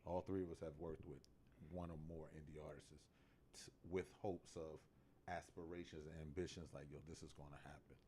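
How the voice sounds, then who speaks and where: Deep, fast-talking man bantering on a podcast. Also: level tone, dark tone, a little hiss or hum. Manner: slangy, interjecting, bantering, swearing casually. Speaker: podcast panelist. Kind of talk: casual chat.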